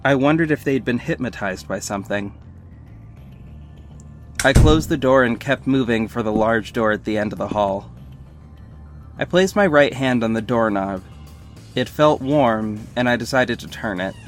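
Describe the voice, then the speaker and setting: A man's voice: mid-range voice, expressive, normal speed, long pauses, lightly acted. A man playing a wry young superhero who narrates his own mission in scripted first person. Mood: measured, descriptive. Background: music bed.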